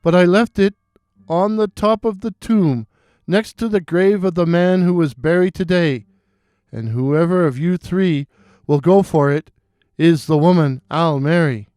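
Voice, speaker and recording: Young storybook suitor, acted by male narrator: crafty, mock-mournful, mellow, deliberate. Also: a little high, dry recording, clean studio sound, natural voice. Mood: feigned regret. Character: scheming young suitor putting his sweethearts to a test.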